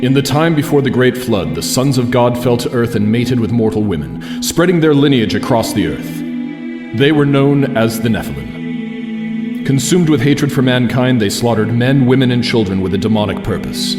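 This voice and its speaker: A man's voice: medium pitch, expressive, normal speed, close to an everyday voice. A man playing the solemn announcer of a supernatural saga, delivering dramatic scripted narration for an audio drama. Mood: solemn, ominous. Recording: long reverb over prominent music.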